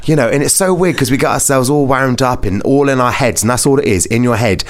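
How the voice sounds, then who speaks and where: A man's voice: medium pitch, quick pace. A man hosting a podcast in a casual, chatty interview style, giggly and often repeating phrases back. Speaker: podcast host; pantomime performer.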